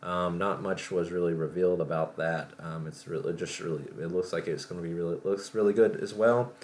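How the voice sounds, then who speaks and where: A man hosting a podcast, talking casually about gaming news in a halting, rambling way, as if thinking aloud. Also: deep voice, fairly even intonation, a little mellow, slight background noise. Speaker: podcast host. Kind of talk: casual solo show talk about video game news.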